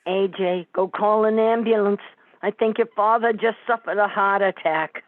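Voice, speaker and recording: A tinny, phone-like, dry recording of a brassy mobster's wife, played by a woman. She is a sarcastic, scolding mother in a comic radio play, with slangy talk and a medium pitch. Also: fairly even intonation, normal speed, no room sound, lightly acted. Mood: breezy.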